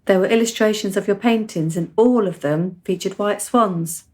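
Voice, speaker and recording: A woman's voice: mid-range voice, normal speed, a little mellow, lightly acted. An actress playing a precocious, self-assured teenage girl in a radio play, composed, articulate and matter-of-fact.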